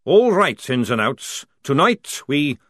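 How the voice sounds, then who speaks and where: A man's voice: medium pitch, big pitch swings, unhurried, fairly bright tone, no room sound, studio quality, voice pushed up. Figure: a man doing a bellowing sergeant. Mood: barking. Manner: bellowing, barking orders. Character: loud gruff old male sergeant.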